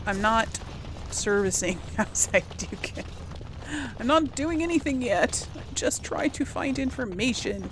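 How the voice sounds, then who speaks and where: A woman's voice: fairly high pitch, expressive, measured pace. A woman doing casual gameplay commentary, hesitant and rambling as she thinks aloud to herself over game ambience. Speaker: host of a role-play let's play series. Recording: clear background noise, game ambience.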